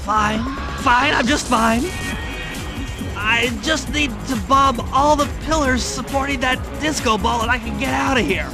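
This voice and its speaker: A man's voice: very high-pitched, unhurried, vocal fry, full character voice. A man voicing a brainy, nervous turtle who plans heists in a cartoon game, nasal, fussy and precise. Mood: flustered. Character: brainy nervous male turtle, gadget-minded heist planner. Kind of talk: cartoon game voice acting, mission briefing. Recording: music behind the voice.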